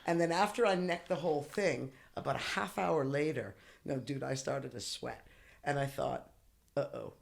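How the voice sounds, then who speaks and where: A woman's voice: sits low, normal speed, creaky. A woman guest on a podcast, telling stories in casual chat with lots of swearing and laughter. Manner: profane, laughing, animated storyteller.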